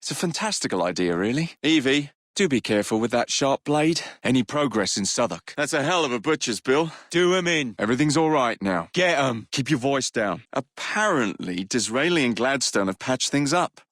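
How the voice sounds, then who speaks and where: An actor voicing a brash, playful young Victorian London gang leader in a video game, with an English accent and a teasing, roguish manner that turns to clipped commands. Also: male voice, mid-range voice, sing-song, normal speed, few pauses, a bit bright, no room sound, clean studio sound. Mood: cocky, playful.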